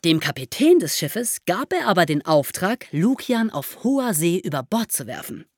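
Female host, low-pitched and animated, explains history conversationally, close dry studio sound. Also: moderate pace, husky, no echo. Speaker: podcast host telling history stories. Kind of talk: lively explanatory storytelling in conversation.

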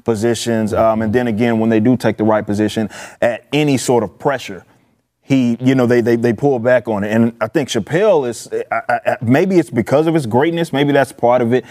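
A man's voice: mid-range voice, fairly even intonation, brisk, a little mellow. A man talking casually as a panel guest, giving opinions in an emphatic, colloquial way with repeated phrases.

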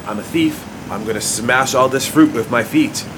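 A man's voice: mid-range voice, normal speed, long reverb tail, recorded from a distance, background noise, close to an everyday voice. An actor playing a defeated, hapless man in a comedy audio drama, insistent and prone to stammering. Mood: insistent, flustered. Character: defeated, overweight, hapless man posing as a criminal.

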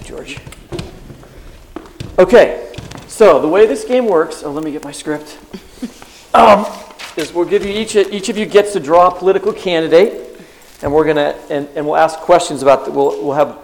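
Male co-host, live stage podcast, eager chatty delivery, slightly higher pitch. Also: a little hiss or hum, poor audio. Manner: eager, chatty, laughs easily, runs a game. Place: stage at a live book festival event.

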